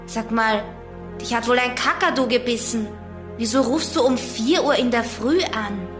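An actress playing a sharp-witted, grumpy girl detective in a German children's radio play, dry and bossy. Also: fairly high pitch, moderate pace, music in the background, close to an everyday voice. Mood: sleepy, grumpy. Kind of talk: German children's audio drama acting.

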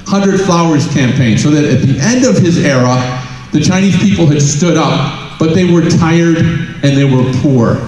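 A man's voice: a little high, a little mellow. A middle-aged man on a stage giving a conference keynote, telling stories and asking rhetorical questions into a headset mic. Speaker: keynote speaker. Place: large conference hall on a stage. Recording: very echoey hall.

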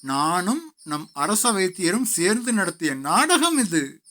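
A man's voice: sits high, big pitch swings, slow pace, sharp tone, full character voice. A man voicing a shrewd, witty court jester with a confident, explanatory manner. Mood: knowing, explaining.

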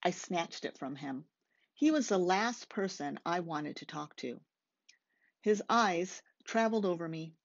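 A middle-aged woman reading a first-person novel aloud in a measured way, recorded indoors on a webcam. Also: lowish voice, animated. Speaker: author reading from own novel. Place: small room, indoors. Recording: slightly dull webcam audio.

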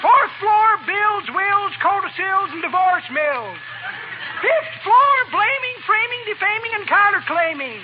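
Chirpy old geezer, sing-song calls, really high voice, old radio comedy with heavy noise. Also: male voice, animated, a little slow. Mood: chipper. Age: older. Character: chipper cackling old-timer elevator operator. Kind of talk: 1940s radio comedy acting. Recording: muffled, very noisy old radio recording.

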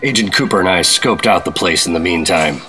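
A man acting as a hard-boiled fifties government agent in a radio drama. The voice sits low, with clipped, guarded formality, over prominent music. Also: brisk, bright, forceful tone. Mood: suspicious narrating. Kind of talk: 1950s radio drama acting and noir narration.

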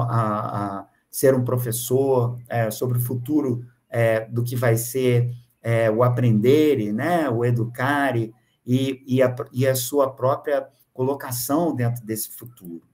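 A man's voice: medium pitch, a little mellow. An older man from Brazil giving an online academic lecture over a webcam, hesitant, with frequent filler sounds and restarts. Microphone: video call webcam.

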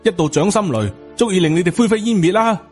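A man's voice: sits high, lively intonation, fast talker, breathy edge, voice pushed up. A man with a Hong Kong Cantonese accent voicing a proud, indignant, hot-tempered Taoist master in an audiobook. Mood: outraged. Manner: indignant, confrontational. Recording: music under the voice.

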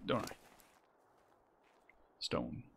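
A man hosting his game stream, talking in a calm, dry, teasing way with a co-op partner. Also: drawn-out words, slight background noise. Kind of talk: casual co-op gaming chat.

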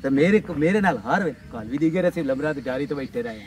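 A man's voice: fairly high pitch, animated, fast, darkish tone, natural voice. A young man with a rural Pakistani Punjabi accent playing a taunting, boastful rival in love in a village comedy. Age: young adult. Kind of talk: village comedy drama acting. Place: outdoors in open grassy field. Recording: distant outdoor sound, faint ambient noise.

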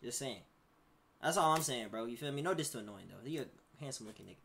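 Young streamer dude, bright forceful voice, slangy, faint hiss behind. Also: male voice, mid-range voice, expressive, fast, vocal fry. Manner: slangy, repeats "you feel me, bro". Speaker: streamer reacting to a video. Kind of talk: casual reaction commentary. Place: small bedroom.

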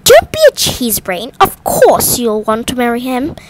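A woman voicing an excitable, nervous mother mouse in a storybook, with shrill, dramatic exclamations. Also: moderate pace. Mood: bossy.

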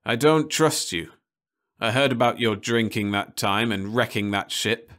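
Man playing a blunt male space captain, curt, firm, gruff, storybook reading. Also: on the low side, a little slow, fairly bright tone.

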